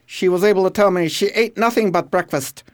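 A man's voice: high voice, brisk, close to an everyday voice. A man playing a grave family doctor in an amateur radio drama.

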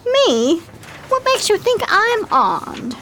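An actress playing a chic con artist with a phony upper-crust American accent and haughty, la-di-da airs in a 1920s crime radio drama. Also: female voice, very high-pitched, sing-song, slow, bright, forceful tone, slight background noise, full character voice. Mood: haughty, defiant. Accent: American, affected upper-crust.

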